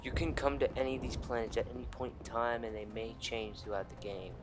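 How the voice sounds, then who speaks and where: A man's voice: medium pitch, quick pace, noisy background. A man doing casual let's play commentary, profane and sarcastic with mock indignation. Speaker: gaming video player and commentator. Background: game music.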